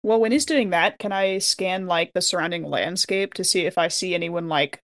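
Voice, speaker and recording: A woman's voice: on the low side, normal speed, no echo, studio quality. A woman chatting casually as a player in a tabletop roleplaying game.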